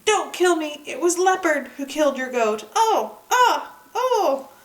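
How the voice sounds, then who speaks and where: A woman's voice: high-pitched, expressive, unhurried, pitched above the performer's own voice. A woman voicing a sly male trickster dog in a children's folktale, with theatrical cries of alarm and feigned innocence. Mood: feigned agony. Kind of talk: children's folktale read-aloud. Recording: echoey room, distant microphone.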